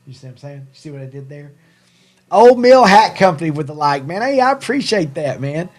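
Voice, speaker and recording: A man's voice: fairly high pitch, sing-song, brisk. A man from Tennessee with a Southern American accent, chatting casually as a livestream host in a rambling, jokey, self-deprecating way. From Tennessee, USA. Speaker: livestream host and hat company owner. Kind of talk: casual live chat with viewers.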